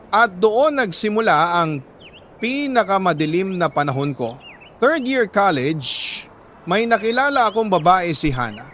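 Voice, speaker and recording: A Filipino man reads a scripted first-person love story in an earnest, sentimental way, with deliberate dramatic pauses. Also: fairly high pitch, fairly bright tone, slight background noise. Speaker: radio-style story narrator reading a listener's letter.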